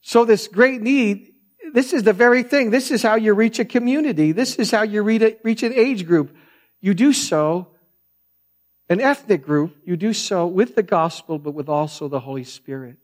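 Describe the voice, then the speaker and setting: Soft-voiced preacher, fairly high. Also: male voice, normal speed. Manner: earnest, conversational storytelling with frequent restarts. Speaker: pastor. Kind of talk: sermon.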